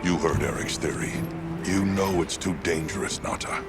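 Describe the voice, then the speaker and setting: A stern, authoritative hunter, played by a man with an extremely deep voice. He speaks in short, firm lines. Loud cinematic music sits behind him. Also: normal speed, close to an everyday voice. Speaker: game character, hunter. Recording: game cutscene with loud music.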